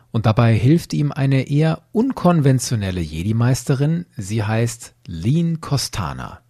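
A man hosting a podcast with scripted, explanatory narration in a husky voice. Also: medium pitch, mellow. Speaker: podcast host.

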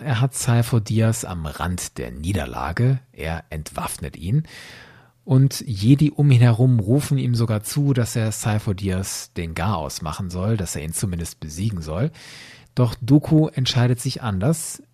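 A man's voice: lowish voice, sing-song, unhurried, dark tone, breathy edge, no room sound. A man speaking as a podcast host, giving scripted commentary on a story.

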